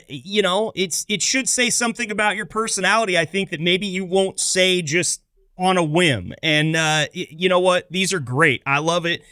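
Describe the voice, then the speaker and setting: Male host, casual chat, fairly high forceful voice, dry close sound. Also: clear, resonant tone, dry recording. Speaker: show host, interviewer.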